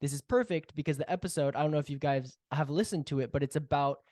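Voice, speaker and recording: A man with a slightly high voice talks as a guest on a podcast. His manner is humble and earnest, in casual conversation. The sound is dry, with little room to it. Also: fast, a little mellow, dry recording. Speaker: podcast guest, audio drama co-creator.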